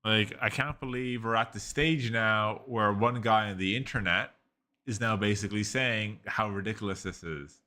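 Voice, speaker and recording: A man talks through a software review in a loose, rambling way, piling up "like" and restarting his sentences. His voice sits low but comes across bright and forceful. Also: moderate pace. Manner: rambling, heavy use of "like", frequent restarts. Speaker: tech reviewer. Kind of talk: casual screen-recorded software review.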